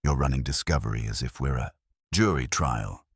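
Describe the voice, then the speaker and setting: A man's voice: extremely low pitch, animated, normal speed, breathy edge, no room sound, clean studio sound, heavily acted. A man voicing a slick, sardonic male suspect with a wry, needling manner in a detective audiobook. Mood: wry defiance.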